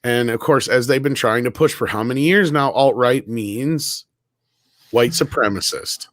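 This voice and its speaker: A man's voice: medium pitch, measured pace, fairly bright tone, dry recording. A man giving blunt, casual commentary on a talk panel.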